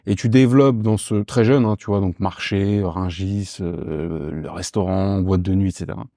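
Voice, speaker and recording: A man in his thirties from Paris, a podcast guest talking casually and informally, often pausing with fillers as he searches for words. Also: sits low, fairly even intonation, warm tone, no room sound, clean studio sound. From Paris, France. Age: 30s. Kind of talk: casual conversational interview.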